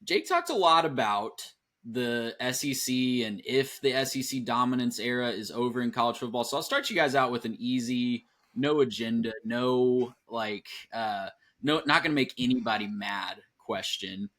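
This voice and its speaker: A man hosts a sports podcast in an easygoing, upbeat way. His voice sits at a middling pitch, and he moves conversationally between welcomes and questions. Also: moderate pace, a bit bright. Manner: upbeat, conversational host patter. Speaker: podcast host. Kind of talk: casual podcast hosting.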